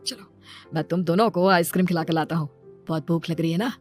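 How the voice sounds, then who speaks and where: Woman doing a cartoon dad, earnest, devoted, plain talking, soft music in back. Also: fairly low pitch, quick pace, voice pushed down, natural voice. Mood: tender. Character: earnest, devoted young father in a cartoon tale.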